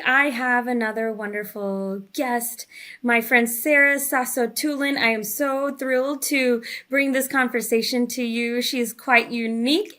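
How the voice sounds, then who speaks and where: Upbeat woman podcast host, higher voice. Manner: upbeat, animated. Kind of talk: warm show host intro and interview.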